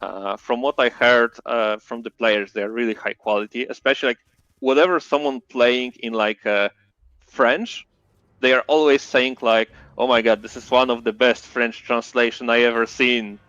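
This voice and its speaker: A man with a Polish accent, a native Polish speaker, chatting casually as a game developer guest in halting English full of fillers. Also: medium pitch, steady tone, fairly bright tone, no echo, highs cut off. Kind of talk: casual interview chat.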